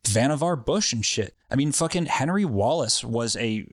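Male podcast host, mid-pitched, loose and profane, dry studio sound. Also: expressive, normal speed, dry recording. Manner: rambling, profane, lots of fillers. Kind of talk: casual storytelling chat.

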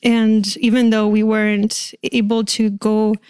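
A woman's voice: medium pitch, little pitch movement, unhurried, a little mellow, clear, resonant tone, no room sound, studio quality. An American woman from the Washington DC suburbs, talking casually and hesitantly as an interview guest. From suburbs of Washington DC, USA. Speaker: podcast guest.

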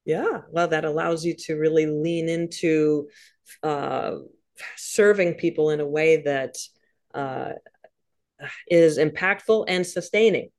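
A woman hosting an interview, warmly encouraging and enthusiastic, often laughing and affirming her guest in casual chat. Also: deep voice, slow pace. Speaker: podcast host.

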